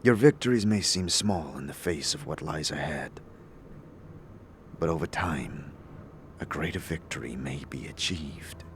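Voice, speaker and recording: Man playing a deep, reflective fantasy wanderer. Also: a little hiss or hum. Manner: earnest, reflective, halting. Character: thoughtful male wanderer on a quest, sincere, searching. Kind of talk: fantasy audio drama acting.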